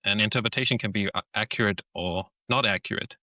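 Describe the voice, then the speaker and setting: A Danish man with a Danish accent hosts a relaxed conversation. His voice sits low yet carries a bright, forceful edge. He thinks aloud, restarting phrases as he searches for the right words. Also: fast, no echo. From Denmark. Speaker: podcast host. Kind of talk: casual conversation.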